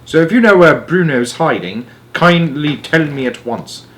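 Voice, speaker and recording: A man voicing a furious, blustering English father in a storybook, spluttering and stammering as he shouts. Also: fairly high pitch, expressive, a little slow, voice pushed up. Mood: angry, blustering.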